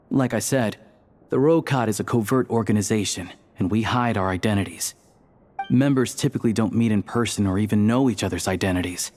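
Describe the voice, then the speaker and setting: A man's voice: lowish voice, darkish tone, natural voice. A man voicing an easygoing, level-headed young member of a secret society in a video game, explaining things casually.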